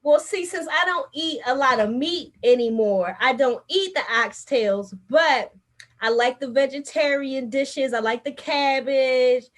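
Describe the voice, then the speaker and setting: A woman's voice: high voice, unhurried. A woman from Baltimore hosting a casual interview show, upbeat and full of slang. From Baltimore, USA. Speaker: host.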